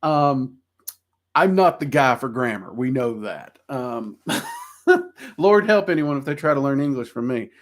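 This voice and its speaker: A man's voice: medium pitch, animated, measured pace. A man with a Southern US accent, hosting a casual livestream and answering viewer questions in a rambling, self-deprecating way with lots of ums. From Southern United States.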